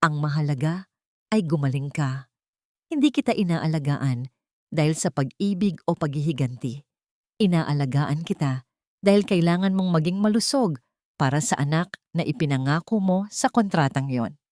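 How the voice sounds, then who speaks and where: A woman's voice: sits low, animated, moderate pace, a little mellow, dry recording, studio quality. A woman voicing a proud billionaire husband who hides tenderness and torment behind cold restraint in an audio drama. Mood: cold, restrained. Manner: cold, restrained, anguished undertone.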